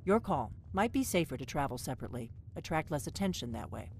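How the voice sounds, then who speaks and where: A middle-aged resistance leader in a fantasy game. She is brisk, firm and practical, with little patience for rambling, and clear music plays behind her. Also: deep voice, big pitch swings, a little mellow. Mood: businesslike. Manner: businesslike, firm. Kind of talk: fantasy video game acting.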